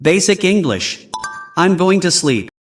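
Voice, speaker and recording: A man hosting an English lesson, giving slow, clear, scripted prompts between practice clips. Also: high-pitched, very animated, soft background music. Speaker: English teacher host.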